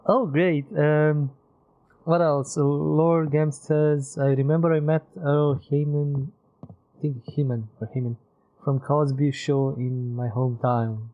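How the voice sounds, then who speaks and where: A man's voice: on the high side, little pitch movement, takes it slowly. A man giving casual live gameplay commentary, rambling and thinking aloud. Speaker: game streamer. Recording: slightly dull streaming audio with music.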